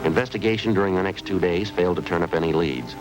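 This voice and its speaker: A man voicing a hard-boiled police sergeant with a very deep voice who narrates in short, clipped, factual lines. He talks at a quick clip. It sounds like a vintage radio drama with music running underneath. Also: natural voice, rough recording. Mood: flat. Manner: clipped, matter-of-fact. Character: terse male police detective sergeant, narrator. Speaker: detective narrator. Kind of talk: 1950s radio crime drama.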